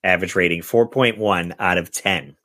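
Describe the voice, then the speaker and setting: A man's voice: low voice, moderate pace, pushed and bright. A man hosting a podcast guessing game, chatting casually about movies in an informal, rambling way.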